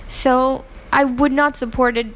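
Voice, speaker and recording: A woman's voice: high-pitched, fairly even intonation, unhurried, a bit bright, rough recording. A woman from Pakistan who grew up in Hong Kong, giving earnest, opinionated interview answers with fillers and restarts. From Pakistan, raised in Hong Kong.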